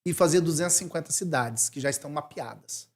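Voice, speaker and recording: Brazilian man in his forties, missionary guest, casual interview chat, animated, restarts. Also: a little high, fast. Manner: animated, thinking aloud with restarts.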